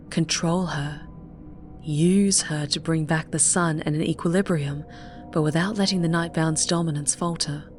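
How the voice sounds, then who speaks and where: Low-voiced woman narrating dark fiction over music. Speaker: audiobook narrator. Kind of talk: scripted dramatic fiction narration.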